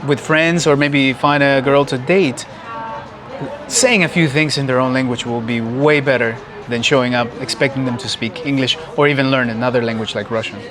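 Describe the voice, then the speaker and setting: A man whose first language is Spanish, a guest in a casual interview, speaking in an earnest, reflective and measured way outdoors in a city. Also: medium pitch, fairly even intonation, a crowd in the background.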